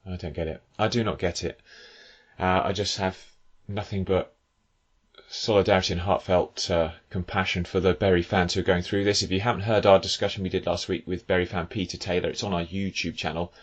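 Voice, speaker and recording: A hoarse man hosting a football podcast, talking in an earnest, measured way with frequent fillers. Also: sits low, flat delivery, lo-fi sound. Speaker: podcast host. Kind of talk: casual football podcast chat.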